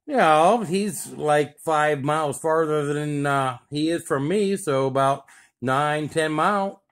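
Higher-pitched Midwestern man on CB radio. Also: takes it slowly. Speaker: CB radio operator. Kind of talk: casual radio chatter in CB lingo. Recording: CB radio chatter, radio sound.

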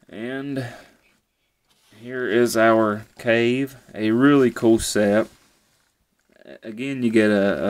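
A man casually reviewing a toy set at home, relaxed and easygoing, often repeating how cool it is. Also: on the low side, clean tone. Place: indoors at a table.

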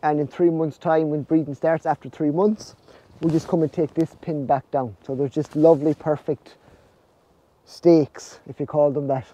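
A man's voice: on the high side, fairly even intonation, normal speed, dark tone, low-quality recording. A man in his forties with an Irish accent, casually explaining farm jobs outdoors in a vlog. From Ireland. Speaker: snail farmer presenting his farm vlog. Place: outdoors under netting on a farm.

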